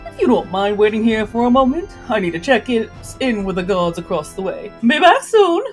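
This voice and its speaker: A woman voicing a smug, scheming male pirate count in a storybook, with a nasal tone and courteous, drawn-out flourishes, over music. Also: a little high, big pitch swings. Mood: oily, reassuring. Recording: music behind the voice.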